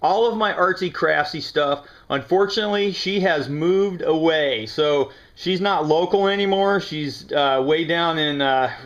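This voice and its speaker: A man's voice: fairly high pitch, measured pace, few pauses, fairly bright tone. An American man chatting casually to the camera in a laid-back, slangy, folksy way as he shows off his haul. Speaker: reseller showing yard sale finds. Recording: dull lo-fi camera audio.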